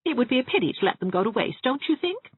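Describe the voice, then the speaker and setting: Woman voicing a high-pitched, genteel gossipy spinster. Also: big pitch swings, quick pace, husky, no room sound, narrow, tinny audio. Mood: chatty, genteel. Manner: genteel, chatty, relishing grim family details. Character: ladylike, morbidly gossipy small-town spinster dressmaker. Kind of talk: acted audiobook dialogue.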